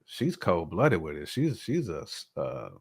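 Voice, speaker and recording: An American man with a fairly low voice talks casually as a podcast co-host. He states opinions emphatically, circles back to repeat them and slips into imagined quotes to make his point. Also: wildly swooping pitch, unhurried, breathy edge. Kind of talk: casual opinionated relationship discussion.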